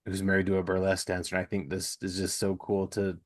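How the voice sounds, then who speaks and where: A man's voice: deep voice, completely flat delivery, quick pace. A middle-aged man hosting a podcast, talking in a casual, conversational interview style. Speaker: podcast host.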